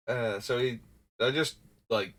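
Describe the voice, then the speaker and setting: A man's voice: medium pitch, fairly bright tone. A man with a nasal, stuffy voice from a runny nose, thinking aloud in a rambling, casual way while livestreaming. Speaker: streamer building a card game deck. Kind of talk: casual live-stream talk.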